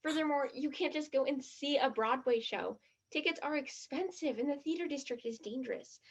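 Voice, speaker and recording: A woman's voice: high voice, a little fast. An actress in a stage play as a blunt, skeptical, dramatic teenage girl, in a slightly muffled recording. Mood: doubtful.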